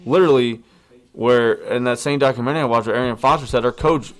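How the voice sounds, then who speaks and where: Medium-pitched man chatting on a podcast. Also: fast talker, soft background music. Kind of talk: casual podcast discussion.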